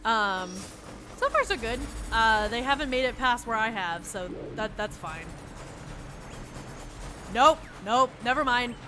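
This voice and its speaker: A high-voiced young woman with a twang, stuffy from allergies, keeps up sarcastic running commentary at her desk mic while she plays. She talks back to the characters and her chat. Game music plays clearly behind her. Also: animated, a little slow, long pauses. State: sniffly from allergies. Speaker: streamer. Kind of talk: casual let's-play commentary.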